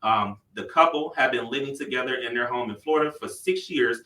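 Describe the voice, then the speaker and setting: A man's voice: mid-range voice, a little fast, bright, forceful tone, echoey room, far from the mic, poor audio. Slangy thirties male podcast host. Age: 30s. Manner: playful, slangy storyteller. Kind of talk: casual chat and celebrity gossip segment.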